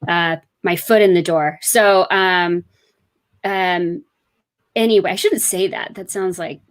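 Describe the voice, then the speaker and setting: A woman's voice: on the low side, steady tone, takes it slowly, smooth. A woman author being interviewed, telling her story in a chatty, rambling way full of fillers. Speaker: author guest. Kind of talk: casual interview chat.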